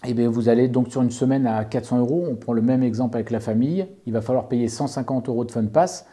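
Man explaining, very dark muffled tone. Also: medium pitch. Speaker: property owner giving advice and a review. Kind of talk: informal explanatory talk, answering an interviewer.

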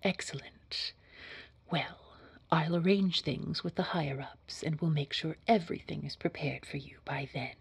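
A woman playing a poised, sinister boss in an audio roleplay, speaking formally in a hushed, husky, measured and quietly controlling way. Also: low voice, no room sound, heavily acted. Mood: composed. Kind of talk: scripted audio roleplay acting.

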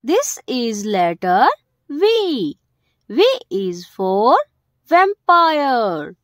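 Very slow, high-pitched woman teaching kids. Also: big pitch swings, dry recording, clean studio sound. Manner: simple short phrases with emphasized key words. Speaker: host of a children's alphabet video. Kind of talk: children's educational narration.

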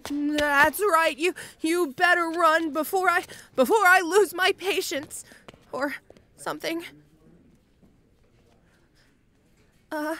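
Woman playing a high-voiced, shy tomboy orc girl. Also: bright, forceful tone, exaggerated voice. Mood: nervous bravado. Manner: halting, timid, stammering, apologetic. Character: shy, awkward, muscular tomboy orc schoolgirl. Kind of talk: roleplay audio acting addressed to the listener.

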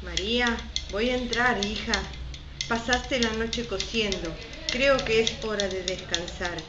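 A woman's voice: a little high, unhurried, lightly acted. An Argentine woman voicing a caring, worried mother in a radio soap. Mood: tender, worried. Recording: echoey, noisy, muffled home recording.

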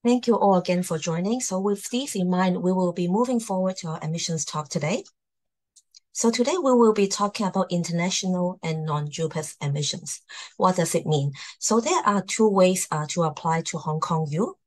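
A woman's voice: on the low side, moderate pace, a little mellow. A woman hosting and presenting in a formal slide talk over a video call. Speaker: host, university admissions presenter.

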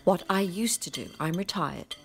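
An older actress playing a gruff, reluctant retired space adventurer with a brisk, sardonic manner in a comedy audio drama. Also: female voice, lowish voice, animated, faint music behind. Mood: dry, impatient.

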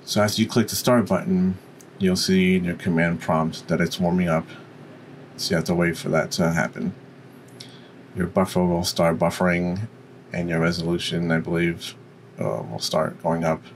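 Very deep-voiced man explaining software. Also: steady tone, normal speed, slight background noise. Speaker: tutorial presenter. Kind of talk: software tutorial walkthrough.

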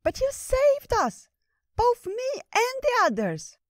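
A woman voicing a small, excitable and devoted talking squirrel in a squeaky, gushing fairytale storybook voice. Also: slow, no room sound, studio quality, exaggerated voice. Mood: protesting.

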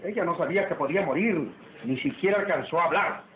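A stern landowner played by a man gives firm, emphatic orders as master of the house. It is old radio drama, with muffled sound and clear background noise. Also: a little high, fast talker, natural voice. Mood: grave, commanding. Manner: commanding, emphatic. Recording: dull, noisy old radio recording.

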